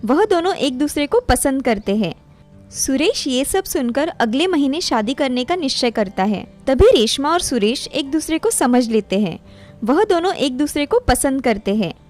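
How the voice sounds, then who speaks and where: A woman with a high voice narrates a scripted tale. She works as a storyteller, moving through plain narrative sentences, with faint music playing behind her. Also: very animated, moderate pace, darkish tone.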